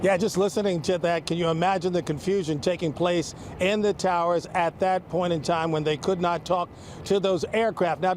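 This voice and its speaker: High-pitched American male news reporter, noisy outdoors. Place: outdoors at an airport. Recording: clear outdoor airport and traffic noise.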